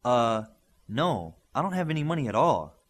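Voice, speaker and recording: Casting: male reader as boyish young superhero, excitable and curious, lively range, slightly husky. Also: medium pitch, moderate pace. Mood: impressed, awkward.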